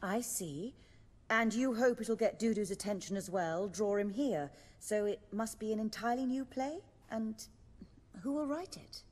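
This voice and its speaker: An actress playing a poised, shrewd theatre troupe leader in a video game, speaking in a measured, formal way. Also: female voice, fairly high pitch, a little mellow, long reverb tail, close to an everyday voice. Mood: composed, practical. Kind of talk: video game dialogue acting.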